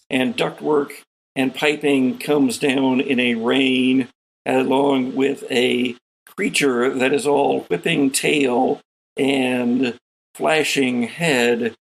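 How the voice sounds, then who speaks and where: Guy game master narrating dramatically, raspy gravelly voice, takes his time. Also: medium pitch, poor audio. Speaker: game master, podcast host.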